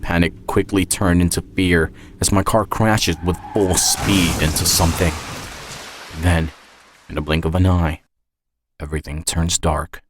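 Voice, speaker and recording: A man's voice: low voice, fairly even intonation, measured pace, noisy background. A man reading a scripted first-person dramatic story as its author and narrator. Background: music.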